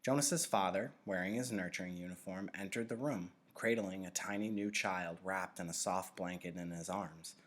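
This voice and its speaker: A man with a low-pitched voice reads a novel aloud. He narrates it as a storybook, in the role of a teacher reading to students. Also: normal speed, few pauses.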